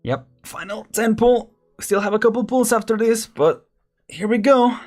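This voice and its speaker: Young guy streaming a game, lively up-and-down voice, laughs a lot, faint game music behind. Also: high voice, normal speed. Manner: chatty, laughs often, counts down excitedly. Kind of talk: casual live gameplay commentary.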